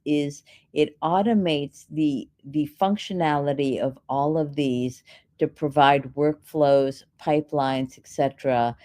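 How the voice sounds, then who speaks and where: A woman's voice: low-pitched, warm tone. A woman answering questions as a keynote speaker, explaining in an instructional, step-by-step way. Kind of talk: explanatory conference Q&A.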